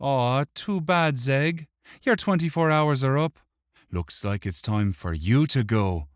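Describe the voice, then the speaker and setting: A small young fantasy hero, played by a male narrator, pitched well above the reader's own voice. He sounds urgent, bossy and easily exasperated, in a close, dry audiobook recording. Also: sing-song, a little slow, dry recording. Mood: triumphant. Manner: urgent, demanding. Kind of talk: audiobook character voice.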